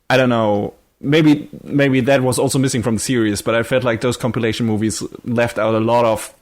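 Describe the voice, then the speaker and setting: A man chatting casually as a podcast co-host, asking questions in a searching, halting way. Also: mid-range voice. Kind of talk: casual anime discussion.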